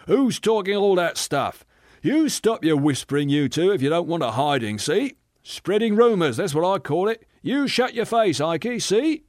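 A man voicing a big, coarse bully in a storybook, shouting threats and blustering. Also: high voice, big pitch swings, normal speed, no echo, studio quality, pitched above the performer's own voice. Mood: angry, threatening.

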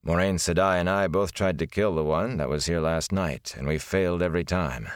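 A man voicing a stern, grim warrior bodyguard who speaks quietly and gruffly. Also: deep voice, a little fast, no echo, clean studio sound, close to an everyday voice.

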